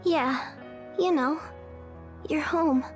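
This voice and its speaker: A woman's voice: high voice, drawn-out words, faint music behind. An actress voicing a small, naive, childlike girl in an anime-style game, plaintive, simple and direct. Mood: gentle.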